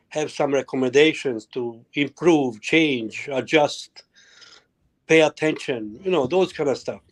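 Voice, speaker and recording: Home inspector man. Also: on the high side. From former Yugoslavia. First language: Croatian. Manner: halting non-native phrasing. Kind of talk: casual interview.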